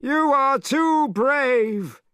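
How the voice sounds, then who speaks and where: A man voicing a monstrous, cruel demon king who speaks in a slow, booming manner. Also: lively intonation, pushed and bright, no echo, clean studio sound, heavily acted. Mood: menacing.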